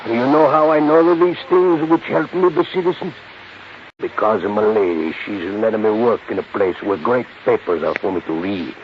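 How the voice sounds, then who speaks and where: An actor playing an earnest, proud Italian immigrant caretaker with an Italian accent and broken, effusive English in a 1950s radio drama. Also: male voice, on the low side, moderate pace, lightly acted. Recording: dull, noisy 1950s radio recording.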